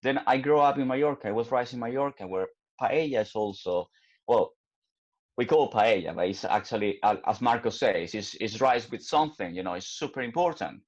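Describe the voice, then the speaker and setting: A man's voice: medium pitch, animated. A man from Ecuador talks about cooking on an online panel, stopping and restarting often. His voice comes through a dull, lo-fi call line. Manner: halting, with many restarts.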